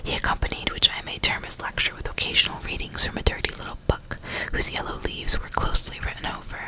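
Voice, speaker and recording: A woman whispering in a breathy, unvoiced hush, as an ASMR host reading aloud in an unhurried way. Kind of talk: whispered ASMR audiobook reading with casual asides.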